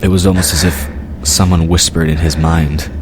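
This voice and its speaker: A man's voice: deep voice, level tone, normal speed, noisy background. A man reading scripted narration for an audio drama. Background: loud music.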